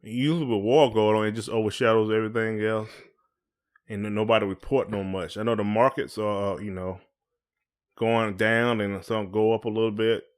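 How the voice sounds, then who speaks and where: A man's voice: on the low side, steady tone, normal speed, clear, resonant tone. Man hosting casually. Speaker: podcast co-host. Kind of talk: casual chat.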